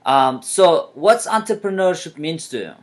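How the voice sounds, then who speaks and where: A man from Kyrgyzstan, a native Kyrgyz speaker, hosting an interview podcast and asking questions in a soft, slightly halting way. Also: on the high side, animated. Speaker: podcast host.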